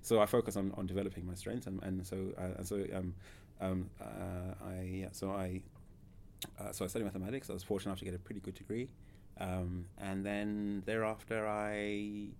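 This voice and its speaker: A man's voice: deep voice, little pitch movement, brisk, a little mellow, a little hiss or hum. A man in his thirties from Zimbabwe, a podcast guest who talks hesitantly with many fillers and restarts. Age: 30s. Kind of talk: casual interview.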